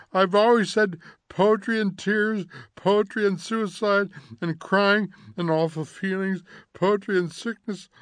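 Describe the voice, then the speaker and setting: A man voicing an indignant middle-aged housewife who scolds and accuses loudly. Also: a little high, no echo, voice pushed up, close to an everyday voice.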